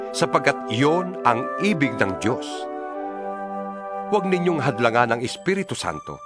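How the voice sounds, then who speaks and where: A man playing an earnest apostle in a dramatized scripture recording. His delivery is solemn and deliberate, with widely varied intonation at a mid pitch, over clearly audible music. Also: lightly acted. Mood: earnest, exhorting. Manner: solemn, exhorting, deliberate phrasing. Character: apostle writing earnest, exhorting letters to fellow believers. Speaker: narrator of a dramatized Bible.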